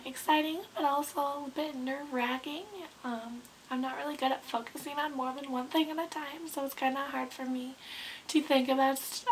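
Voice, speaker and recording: A woman in her twenties with a hoarse, sick voice, chatting casually to camera in a rambling way with lots of ums. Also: high-pitched, a little hiss or hum, low-quality recording. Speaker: beauty vlogger.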